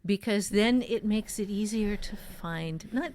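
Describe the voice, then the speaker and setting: A woman hosting an informal talk as a writing teacher, emphatic and halting, often repeating words for stress. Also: medium pitch, a little mellow, a little hiss or hum. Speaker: podcast host and fiction-writing teacher. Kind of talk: informal writing advice in conversation.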